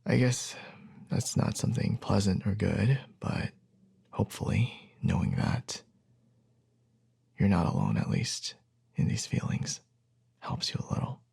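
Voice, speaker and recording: A man performs as a caring young boyfriend in an ASMR roleplay. His voice is lowish, very husky and breathy, full of crackly fry. He speaks softly and hesitantly, reassuring his partner with tenderness. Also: wildly swooping pitch, full character voice. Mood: tender, reassuring. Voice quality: soft, breathy, husky, often near a whisper. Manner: slow, hesitant, tender, with long pauses.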